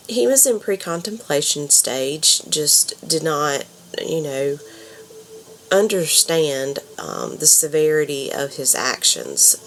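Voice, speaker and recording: Young woman, congested from a cold, low voice, halting casual delivery full of fillers. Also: slight background noise. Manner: hesitant, many ums and you knows. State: stuffed up from a cold. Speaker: counselor.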